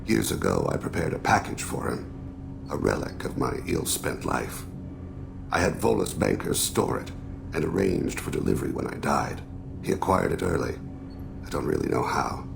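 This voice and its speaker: An actor playing a solemn, regretful alien assassin and father in a video game, speaking in measured, formal, introspective phrases with a gravelly voice. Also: animated, full character voice. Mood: quiet, troubled. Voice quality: very deep, heavily gravelly. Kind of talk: video game dramatic dialogue.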